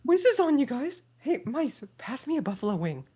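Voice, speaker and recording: A whiny, bossy fairy-tale creature complains and barks orders from the couch, as a woman reads it. The voice is very high and jumps around a lot. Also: big pitch swings, moderate pace, warm tone. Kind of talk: live comedic table read.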